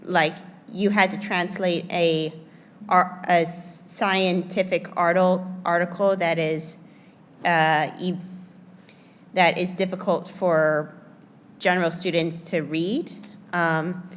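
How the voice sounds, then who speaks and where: A woman in the workshop audience asking a question, halting and hesitant with many fillers and restarts. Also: on the low side, level tone, big-room sound. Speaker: workshop participant.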